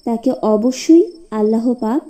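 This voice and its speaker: Woman storyteller with a very soft, mellow tone. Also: fairly high pitch, measured pace. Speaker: story narrator. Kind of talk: scripted cartoon moral story narration.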